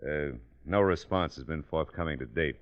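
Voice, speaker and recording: An actor playing a businesslike male detective captain, halting and formal, in an old radio docudrama. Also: a bass voice. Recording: dull old radio recording.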